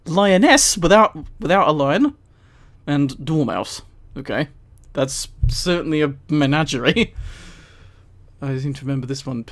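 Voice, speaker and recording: A streamer reading aloud on a live stream with casual, amused commentary and incredulous, mildly sweary asides. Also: mid-range voice. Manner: amused, often laughing while reading. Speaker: streamer and host.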